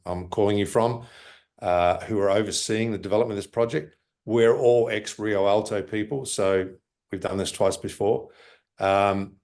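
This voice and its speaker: A man with a very low, deep voice speaks as a mining executive in a remote interview. He talks in a halting way, full of "um"s and restarts. He is heard over a video call. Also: a little mellow. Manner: halting, many fillers and restarts. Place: office in Lima.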